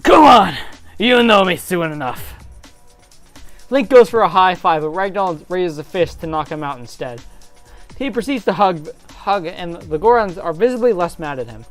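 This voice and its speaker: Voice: medium pitch, expressive, moderate pace, soft background music. A theatrical narrator giving a comedic dramatic reading of a fantasy story.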